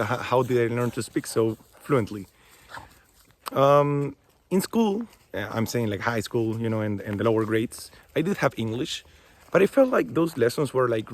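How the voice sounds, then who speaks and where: A man's voice: medium pitch, a little hiss or hum. A young man from Chile with a Chilean Spanish accent, talking casually in English to his vlog audience and leaning on fillers like "like" and "you know". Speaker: vlogger. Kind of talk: casual talking-head monologue. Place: outdoors.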